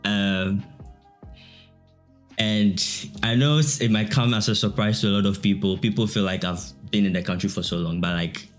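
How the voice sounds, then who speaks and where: A young man with a Ghanaian accent answers questions in a relaxed, chatty way, often breaking into laughter. His voice sits fairly low. Soft music plays faintly behind him. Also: normal speed. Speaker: guest, digital artist. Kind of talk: casual interview chat.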